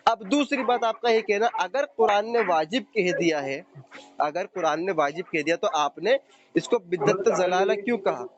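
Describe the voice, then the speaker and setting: A man's voice: high-pitched, expressive, normal speed. A man debating religion on a phone call, combative and taunting, insisting on his points. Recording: dull, noisy phone call recording.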